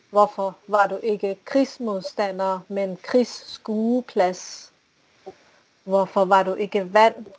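Woman, poetry reader, very slow and solemn, dry sound. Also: mid-range voice, darkish tone, dry recording, poor audio. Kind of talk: poetry reading.

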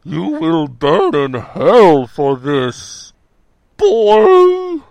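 A towering, wrathful zealot father, roaring out his damnations in a slow, gravelly drawl. His pitch swings wildly as each word is dragged out and thrown like a sermon of hate. It is dry and close, with nothing of the room around it. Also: wildly swooping pitch, darkish tone, no echo, heavily acted. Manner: slow, drawn-out preacher-like bellowing.

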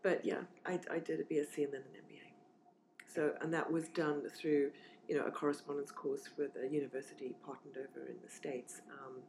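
A woman's voice: low voice, little pitch movement, a little fast, a little mellow, slight background noise, rough recording. A woman in her forties from southern Africa, talking casually and reflectively as an interview guest, with plenty of fillers and restarts. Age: 40s.

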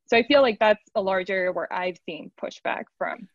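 Woman, panel guest, measured and reflective, dry dull-sounding audio. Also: medium pitch, no room sound. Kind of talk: thoughtful discussion.

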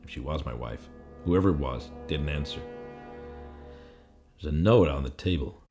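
An actor playing a confused, weary amnesiac in a film noir audio play, halting and repetitive, with hard-boiled phrasing. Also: male voice, a bass voice, a little mellow, faint music behind. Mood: weary, brooding.

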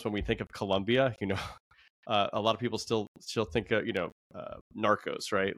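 A man with a low voice hosts an interview, talking in a loose, rambling way and leaning on fillers as he gathers his thoughts. The recording is dry and close, with almost no room sound. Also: dry recording. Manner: relaxed and rambling, with many fillers. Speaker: host. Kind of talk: conversational interview.

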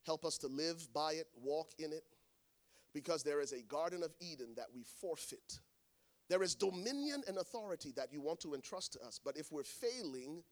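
A man preaching a sermon to a congregation, swinging between hushed lines and shouted ones. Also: a little high. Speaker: pastor. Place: church in front of a congregation.

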